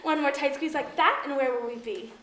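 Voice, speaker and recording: High-voiced, dramatic housemaid acted by a woman, echoey room. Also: brisk, a bit bright. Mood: chatty, philosophical. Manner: dramatic, emphatic, gossipy. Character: chatty, fretful housemaid who turns into an exasperated, disgruntled actress. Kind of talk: theatrical stage monologue. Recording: echoey room with faint noise and clicks.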